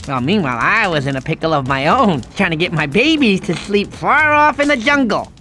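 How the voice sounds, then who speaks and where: Goofy doting sloth uncle, bright forceful voice, swooping pitch, rambling folksy cartoon storytelling, music behind. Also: male voice, sing-song, fairly quick, full character voice. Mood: fond, chatty. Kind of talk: animated storytelling to a young child.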